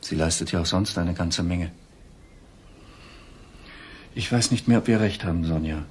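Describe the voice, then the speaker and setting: A man's voice: sits low, normal speed, a little mellow, slight background noise, natural voice. An actor playing a firm, worried middle-aged father and doctor in a children's audio drama. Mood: regretful. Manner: earnest, firm, easily exasperated.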